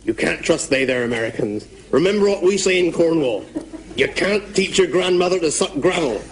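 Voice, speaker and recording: Superstitious Cornish countryman, highish voice. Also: expressive, moderate pace, a little hiss or hum, poor audio. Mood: solemn, folksy. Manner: rustic, portentous, dialect grammar. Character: superstitious Cornish countryman, full of muddled folk sayings. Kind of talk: radio comedy acting.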